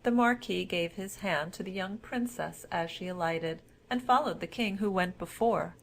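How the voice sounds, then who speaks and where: A woman storyteller narrating a scripted fairy tale in a formal, measured manner. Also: fairly low pitch, vocal fry.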